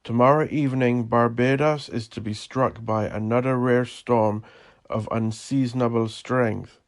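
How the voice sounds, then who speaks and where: A man's voice: medium pitch, steady tone, unhurried, lightly acted. A man voicing a sing-song radio weather announcer in an audio drama, projecting a storm bulletin. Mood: sing-song, urgent.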